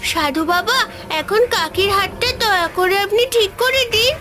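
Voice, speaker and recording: A woman's voice: extremely high pitch, expressive, normal speed. A woman voicing a caring little village girl in a cartoon fairy tale. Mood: eager, caring. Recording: music bed under the voice.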